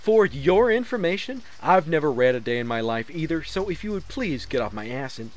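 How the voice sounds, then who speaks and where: Man as conceited hack author, highish voice, swooping intonation, pompous, blustering, comedic audio drama. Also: sing-song, brisk. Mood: defensive, blustering. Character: conceited, defensive hack author, childish and lazy. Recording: noisy amateur mix, faint hiss.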